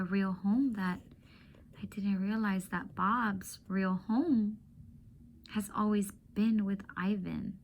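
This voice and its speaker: Soft-spoken woman reading a story aloud. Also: medium pitch, slight background noise. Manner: soft and often whispered, with emotional asides to listeners. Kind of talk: reading a novel aloud to students.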